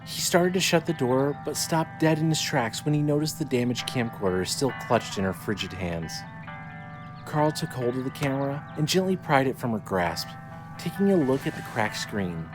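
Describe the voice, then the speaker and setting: A man reading scripted dramatic fiction as narrator, in a measured, descriptive storytelling manner. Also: medium pitch, lively intonation, music in the background.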